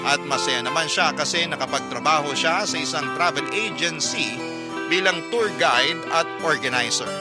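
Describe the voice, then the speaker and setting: A Filipino man narrates a first-person letter in the style of a radio storyteller. His voice sits somewhat high for a man, and prominent music plays behind him throughout. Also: moderate pace. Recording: loud music bed under narration.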